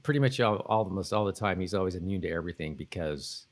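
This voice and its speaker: A man co-hosting a podcast, casually recapping a TV episode in a rambling, halting way and often repeating himself. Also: deep voice. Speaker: podcast co-host.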